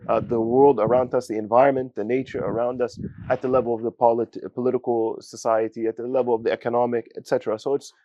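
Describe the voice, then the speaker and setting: A young man from giving a student testimonial, talking haltingly with many fillers and Arabic phrases. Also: medium pitch, level tone, warm tone, highs cut off. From United States. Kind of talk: casual spoken testimonial.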